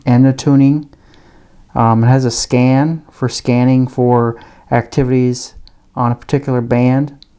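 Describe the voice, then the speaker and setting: A man's voice: medium pitch, warm tone, smooth. A man giving a casual product demonstration, explaining things plainly and without hurry. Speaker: gadget reviewer.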